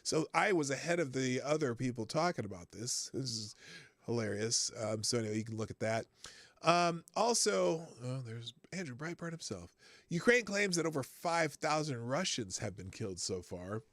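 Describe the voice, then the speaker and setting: Halting male live-stream news host. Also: mid-range voice, very animated, fairly bright tone, no room sound. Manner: halting, many restarts and fillers, reads articles aloud, chats with viewers. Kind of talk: live-stream news commentary, reading headlines and chat.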